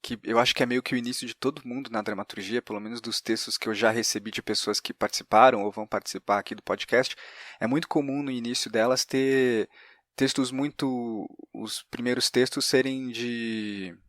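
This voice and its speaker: A man's voice: mid-range voice, fairly even intonation, fairly quick, fairly bright tone, no room sound, clean studio sound. A man with a Brazilian accent hosting a conversational interview, hesitantly searching for his words. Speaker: podcast host.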